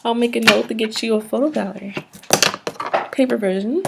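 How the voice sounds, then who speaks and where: Soft-spoken ASMR woman with creaky voice. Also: on the high side, a little fast, heavy background noise. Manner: polite, businesslike, hesitant, explaining procedures. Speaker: ASMR performer playing a job interviewer. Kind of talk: soft-spoken ASMR roleplay of a job interview.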